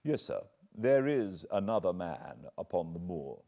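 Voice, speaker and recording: A man's voice: sits low, sing-song, measured pace, gravelly, warm tone, dull audio, heavily acted. An English man voicing an anxious, earnest older butler who pleads and frets. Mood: guarded. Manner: agitated, pleading.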